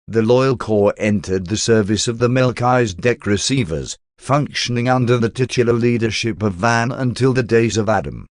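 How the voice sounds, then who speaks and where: A man reading a religious text aloud as formal, measured audiobook-style narration. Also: mid-range voice, no echo, studio quality.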